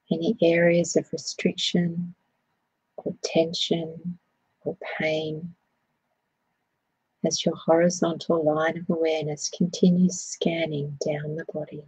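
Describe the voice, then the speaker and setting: Soft mellow woman doing a guided meditation, really slow and gentle, close dry sound. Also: low voice, slightly rough, dry recording, poor audio. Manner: soothing, gentle, unhurried phrasing. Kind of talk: guided body scan meditation.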